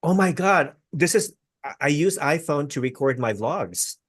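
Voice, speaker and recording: An effusive male host chatting over a video call, his pitch swinging widely as he talks. The sound is dry, with almost no room around it. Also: lively intonation, husky, dry recording. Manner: effusive, quick to praise and laugh. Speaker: interview host. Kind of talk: casual interview chat.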